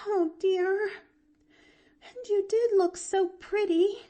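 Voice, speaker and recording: A woman voicing an eager, earnest, excitable young girl in an audiobook, with gushing, pleading delivery. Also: fairly high pitch, slow pace, pitched above the performer's own voice, full character voice. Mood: pleading, upset. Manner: gushing, breathless exclamations and pleading. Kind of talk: audiobook character voice.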